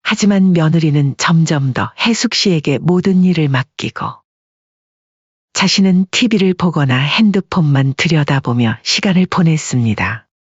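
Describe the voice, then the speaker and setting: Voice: medium pitch, expressive, moderate pace, breathy edge, no echo, dull audio. A narrator reading a scripted family story in a storytelling style.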